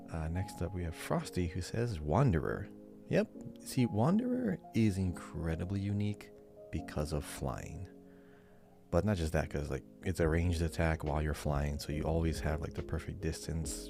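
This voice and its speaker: A man's voice: low-pitched, gravelly. A man co-hosting a podcast in casual, chatty style, reading out listener answers and adding his own short asides. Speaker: podcast co-host.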